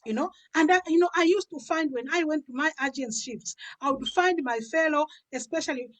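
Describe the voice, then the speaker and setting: A woman's voice: high-pitched, a little fast. A woman. She gives a personal testimony conversationally, like a pastor sharing her own story. She repeats phrases to drive her points home. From Africa. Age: 50s. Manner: emphatic, repeats phrases for stress. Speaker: pastor giving a health and business testimony.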